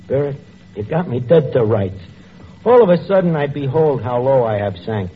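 An actor playing a gruff, uneducated tavern keeper in a 1940s radio comedy, with slangy, ungrammatical speech. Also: male voice, mid-range voice, animated, moderate pace, close to an everyday voice. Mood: repentant, grandiose. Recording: muffled old radio recording, noise, studio audience.

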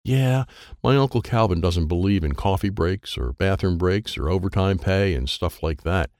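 A man voicing a pushy, matter-of-fact young girl who explains things briskly in a children's story. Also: low-pitched, gravelly, darkish tone, dry recording, clean studio sound.

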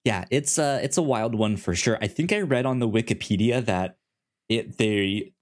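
A man with a fairly low voice co-hosts a casual film podcast. He talks haltingly, often stammering and doubling back on words as he works through his thoughts. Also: lively intonation. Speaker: podcast co-host.

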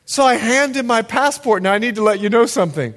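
A man from the Bahamas with a Bahamian accent, preaching and telling a story in a dramatic, animated way. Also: very high-pitched, fast talker. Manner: animated, dramatic, acts out scenes. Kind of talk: sermon storytelling.

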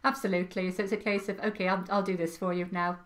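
A middle-aged woman from Wales, a guest on a podcast, talking in a thoughtful, wry way with pauses and fillers. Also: medium pitch, level tone. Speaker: podcast guest, practising witch. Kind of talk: casual interview chat.

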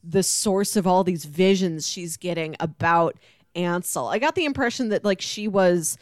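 A woman's voice: lowish voice, dry recording, studio quality. A woman hosting a podcast, chatting casually and informally in a musing way about books. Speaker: podcast host. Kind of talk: casual book discussion chat.

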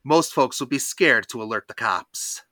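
Animated, bright-voiced podcast host recapping. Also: mid-range voice, normal speed, no echo. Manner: animated, theatrical storytelling. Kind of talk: lively cartoon episode recap.

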